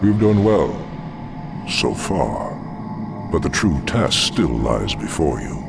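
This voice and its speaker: An actor playing a cold, condescending male demon dreadlord with a deep, gravelly demonic voice in a video game cutscene. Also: big pitch swings, music in the background, exaggerated voice. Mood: menacing. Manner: slow, condescending.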